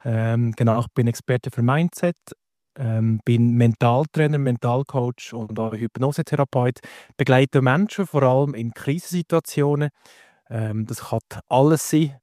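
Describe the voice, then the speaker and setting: A man with a Valais Swiss accent speaks as a podcast guest and coach. His voice is soft-edged and mellow, captured dry. He explains things conversationally, addressing the listener directly. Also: mid-range voice, measured pace, no echo. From Valais, Switzerland.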